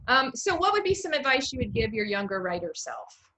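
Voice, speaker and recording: Bright-voiced American woman hosting an interview. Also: fairly high pitch, sing-song, fairly quick, poor audio. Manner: chatty, encouraging. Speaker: interview host, writer. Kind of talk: casual conversational interviewing.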